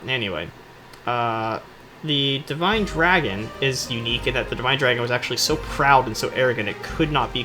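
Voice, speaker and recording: A man's voice: medium pitch, bright, forceful tone, rough recording. A man giving rambling, irreverent, slangy commentary on game cutscenes, with a sarcastic streak. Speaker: video host commentator. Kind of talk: rambling joking commentary over game cutscenes, acting out dialogue in skit voices.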